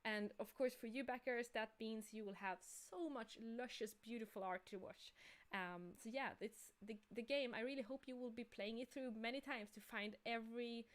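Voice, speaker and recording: Higher-voiced woman. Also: moderate pace. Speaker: game creator and artist hosting a livestream. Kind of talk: casual livestream chat.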